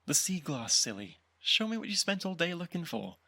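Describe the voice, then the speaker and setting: Give me a man playing a brisk, teasing mother with a bright, animated voice. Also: fairly high pitch, heavily acted. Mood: teasing, amazed. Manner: quick, teasing, lively questioning. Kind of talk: audio roleplay acting.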